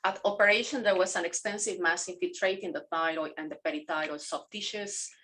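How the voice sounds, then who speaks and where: A middle-aged woman from Mexico, a native Spanish speaker, presenting a pathology case on a video call, halting with fillers and self-corrections. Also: on the low side, steady tone, fairly bright tone.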